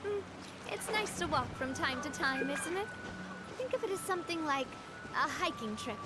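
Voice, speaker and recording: A woman's voice: high-pitched, lively intonation, normal speed. An actress playing a cheerful, gracious young female leader in an anime-style video game, friendly and polite in manner. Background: faint background music.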